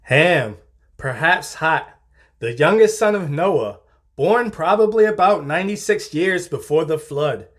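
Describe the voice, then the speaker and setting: Male narrator, fairly high voice, formal and measured, for scripted reference-style reading. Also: expressive. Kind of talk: scripted narration, reading dictionary and encyclopedia entries.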